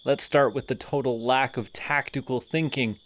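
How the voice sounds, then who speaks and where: A man voicing a sardonic male telepathic villain in an audio roleplay, mocking and deliberate. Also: medium pitch, steady tone, natural voice.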